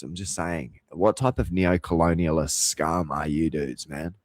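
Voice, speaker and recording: A man's voice: low-pitched, a little mellow, no room sound. A man delivering a rambling, profane rant as a vlogger. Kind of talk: rambling monologue.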